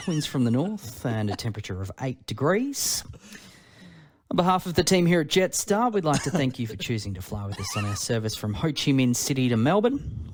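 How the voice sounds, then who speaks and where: A man playing a polished, confident airline pilot making a formal, drawn-out cabin announcement as a parody. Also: a little high. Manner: drawn-out, formal, slowing down for effect.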